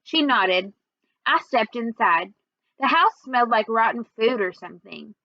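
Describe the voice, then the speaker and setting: A woman with a high voice reads a children's book aloud as its first-person narrator. Her delivery is expressive and measured. The recording is very dry and slightly dull. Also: gravelly, no echo. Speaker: read-aloud storyteller.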